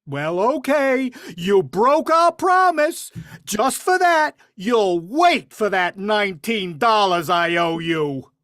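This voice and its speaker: A woman's voice: medium pitch, big pitch swings, slow, fairly bright tone, dry recording. A woman playing a bumbling middle-aged father in an amateur vintage radio play, blustery and sing-song.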